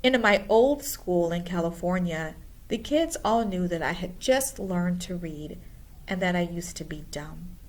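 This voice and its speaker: A woman reading a storybook aloud in a deliberate, expressive way. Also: fairly low pitch. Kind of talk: storybook read-aloud narration.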